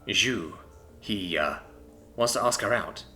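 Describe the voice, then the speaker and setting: A guilty, awkward young man who stumbles over his words, as a male narrator gives him a low, quiet delivery. The voice stays bright and forceful in tone despite its hesitancy, over soft background music. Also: animated, slow, heavily acted.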